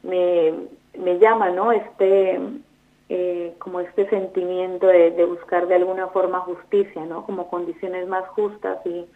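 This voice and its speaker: Girl on the phone, kinda low voice, stops and restarts a lot, sounds thin and tinny. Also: level tone, unhurried. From Colombia. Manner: thoughtful, hesitant, many fillers and restarts. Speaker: guest, foundation volunteer. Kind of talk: interview over the phone.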